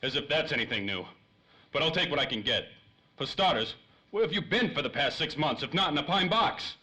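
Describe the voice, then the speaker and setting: A man's voice: a little high, lively intonation, fairly quick, sharp tone, poor audio. A man playing an impatient, wisecracking, slangy tough guy in 1930s radio drama style. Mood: impatient, sarcastic. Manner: slangy, snappy. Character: hard-boiled, impatient, wisecracking male 1930s detective type. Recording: faint noise, light ambience.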